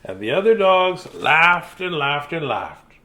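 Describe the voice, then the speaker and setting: A man reading a children's bedtime story theatrically, with dramatic pauses and playful asides. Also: fairly high pitch, sing-song, sharp tone.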